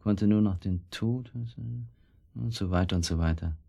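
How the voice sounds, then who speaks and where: A man acting a middle-aged, irritable and evasive husband in a German radio play, speaking in a sardonic, halting way. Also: lowish voice, vocal fry, dark tone. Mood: uneasy, evasive.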